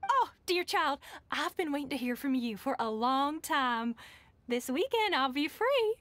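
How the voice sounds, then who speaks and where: A woman's voice: high-pitched, lively intonation, a little slow, a bit bright, no room sound. A woman playing a genteel, kindly busybody auntie in a video game. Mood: sweetly coaxing. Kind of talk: game voice acting. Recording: music behind the voice.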